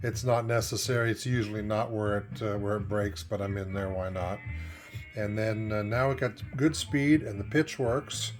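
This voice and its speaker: A man's voice: fairly low pitch, moderate pace. A plain-spoken man presenting an informal hands-on repair demonstration as a technician, recorded on a cell phone at a workbench. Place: indoors at a repair bench. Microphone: cell phone camera. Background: music playing from tape machine.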